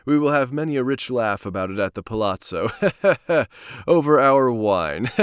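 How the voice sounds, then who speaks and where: A man's voice: medium pitch, lively intonation, a little slow, smooth, no echo, clean studio sound, close to an everyday voice. A man voicing a doomed nobleman in a horror story, theatrical, with forced mirth and desperation.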